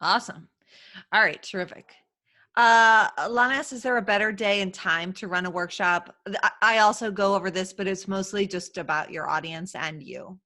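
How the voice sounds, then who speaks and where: Bright, chatty woman hosting a live stream. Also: mid-range voice, moderate pace. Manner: chatty, laughing, lots of fillers. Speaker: host. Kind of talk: casual live-stream chat.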